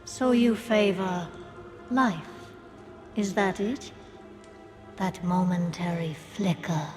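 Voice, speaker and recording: A cold, commanding game villainess speaks slowly in a lowish register. She is sardonic, bitter and menacing, her words carried on long reverb with music underneath. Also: a little mellow. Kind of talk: dramatic game cinematic acting.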